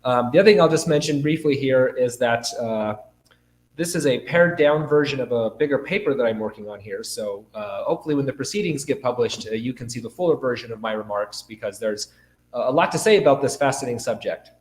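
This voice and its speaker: A young man with a cold, formally reading a scholarly paper aloud as a conference speaker. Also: medium pitch. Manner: formal, measured delivery. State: fighting a cold and cough. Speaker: conference speaker, academic presenter. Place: indoor conference room. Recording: roomy, echoing lecture space.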